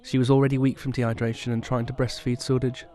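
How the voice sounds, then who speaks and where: A man's voice: medium pitch, little pitch movement, fast, dark tone, faint music behind, lightly acted. A man playing a bereaved young survivor, giving dramatised first-person narration of a family tragedy. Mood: sombre.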